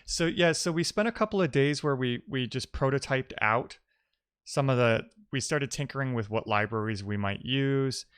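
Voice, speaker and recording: A man's voice: mid-range voice, moderate pace, fairly bright tone, clean tone, no echo, clean studio sound. A man hosting a live-coding stream, talking casually and thinking aloud as he works and answers his chat. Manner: informal, reading and answering chat messages aloud. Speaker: live-streaming programmer, host.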